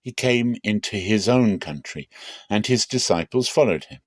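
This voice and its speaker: A man reading scripture aloud as a solemn, expressive dramatic reading. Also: fairly low pitch, normal speed, dry recording. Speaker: Bible reader.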